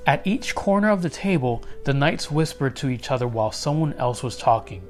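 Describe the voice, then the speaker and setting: A man reading a children's storybook aloud as an expressive narrator. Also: medium pitch, a little fast, faint music behind.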